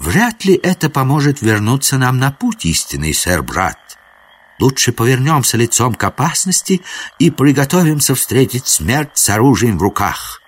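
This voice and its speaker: A man's voice: mid-range voice, sing-song, normal speed, breathy edge, pitched above the performer's own voice. A man voicing a gallant, earnest young foreign prince with ornate, formal knightly speech in an audiobook tale. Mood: grave, resolute.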